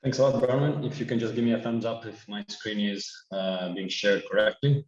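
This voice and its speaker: A man's voice: fairly low pitch, fairly quick. A man with an Italian accent, giving an earnest online conference talk with frequent restarts. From Italy. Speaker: presenting speaker. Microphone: video call. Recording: echoey, muffled video call.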